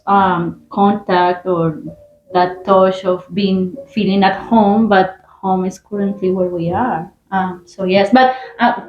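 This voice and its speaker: A woman co-hosting a casual chat, speaking haltingly with many pauses and fillers. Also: medium pitch. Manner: hesitant, halting with many ums. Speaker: podcast co-host. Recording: echoey muffled room with faint noise.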